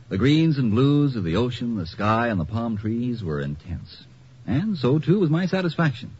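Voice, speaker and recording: A man's voice: medium pitch, natural voice. An actor playing a contented vacationer, telling his story with leisurely drama as a scripted 1950s radio commercial. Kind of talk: 1950s radio ad, scripted first-person narration. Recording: muffled, noisy old 1950 radio recording.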